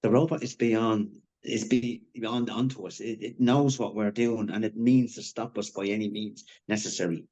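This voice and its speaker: A male actor plays a wounded man in the middle of a crisis in a screenplay drama. He pushes his words out urgently and haltingly, with bitter sarcasm. The audio is dull and low in fidelity, like an online call. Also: fairly even intonation, warm tone, close to an everyday voice. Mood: grim, urgent. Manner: halting, urgent, foul-mouthed. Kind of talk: dramatic live screenplay table read.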